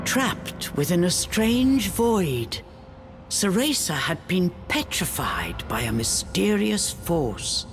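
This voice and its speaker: A woman narrating a fairytale-like video game story in a measured, storybook manner. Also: lowish voice, sing-song, music in the background.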